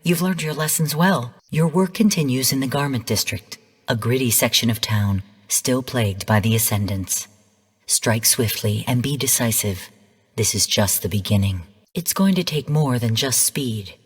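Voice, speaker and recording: Cool, commanding AI mentor in long reverb. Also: female voice, rumbling low, breathy edge, exaggerated voice. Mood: measured, instructive. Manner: measured, formal, cool, precise. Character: female artificial intelligence mentor, calm, stern, commanding, cryptic. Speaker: game guide and mission narrator. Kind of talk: scripted video game mission briefing.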